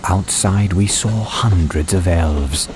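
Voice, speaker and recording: A man reading a dramatized storybook as an audiobook narrator, with measured, deliberate phrasing. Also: sits low. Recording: clear ambience and train noise behind. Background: soundscape of train and ambience.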